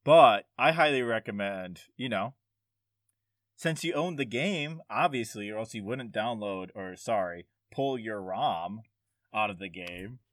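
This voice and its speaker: A man's voice: on the low side, animated, measured pace, fairly bright tone, no room sound, studio quality. A man hosting a podcast in casual, jokey conversation, swearing freely. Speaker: podcast host. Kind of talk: casual chat.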